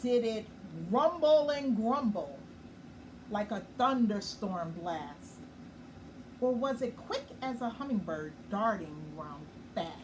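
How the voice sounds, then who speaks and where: A woman hosting a nightly children's story time, reading a picture book slowly and gently and pausing to explain it. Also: on the high side, expressive. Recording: far from the mic with clear background noise.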